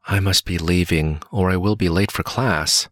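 Man, very low voice, dry close studio sound, careful textbook reading. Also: moderate pace, no echo. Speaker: voice actor in a listening test. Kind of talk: slow, carefully read scripted dialogue.

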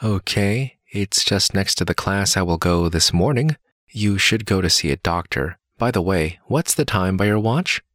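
A man reading lines of a scripted dialogue slowly and carefully, as in a listening test. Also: low voice, expressive, fairly bright tone, dry recording, clean studio sound.

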